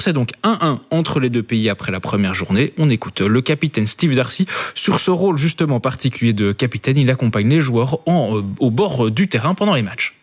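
Man reading sports news. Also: mid-range voice. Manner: relaxed, with frequent fillers.

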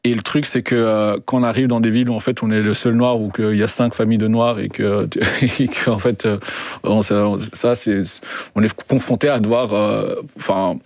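A man's voice: fairly low pitch, fairly even intonation, normal speed, darkish tone, no echo. A young man, a guest telling his story in an interview, hesitant with many fillers and restarts. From Belgium. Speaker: guest, documentary co-director. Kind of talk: radio interview, personal story.

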